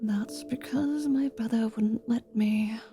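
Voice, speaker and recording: A haughty, sharp-tongued half-vampire woman, played by a woman for a fantasy audio roleplay. Her voice is dark and smooth-edged with little creak, and she delivers sardonic threats at a very measured pace over faint music. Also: fairly high pitch. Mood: irritated, menacing. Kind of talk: fantasy ASMR roleplay acting.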